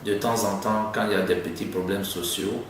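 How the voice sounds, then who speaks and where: A man's voice: sits low, fairly even intonation, moderate pace, soft background music. A man answers interview questions conversationally, repeating phrases for emphasis. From Côte d'Ivoire (Abidjan), of Lebanese descent. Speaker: doctor and city councillor being interviewed. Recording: echoey room, distant mic, faint noise.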